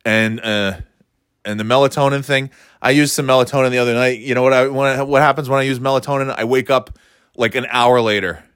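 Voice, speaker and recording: A middle-aged man with a slightly stuffy nose, hosting a rambling solo chat, meandering and breaking off mid-thought, then getting playfully excited. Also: mid-range voice, brisk, smooth, dry recording, clean studio sound. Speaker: podcast host.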